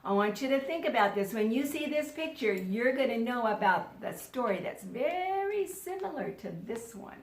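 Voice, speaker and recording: High, animated woman teacher reading aloud. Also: moderate pace, hardly any pauses. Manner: expressive storytelling, questioning listeners. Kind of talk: children's read-aloud lesson with questions. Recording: roomy, echoey sound.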